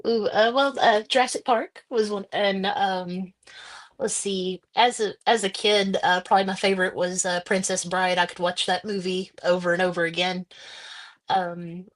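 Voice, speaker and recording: A woman author being interviewed, giving thoughtful, halting answers with many pauses. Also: mid-range voice, steady tone. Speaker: guest author.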